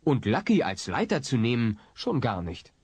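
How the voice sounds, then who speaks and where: A man's voice: medium pitch, big pitch swings, moderate pace, a little mellow, a little hiss or hum. A man narrating a sitcom audio drama from a script in a wry way.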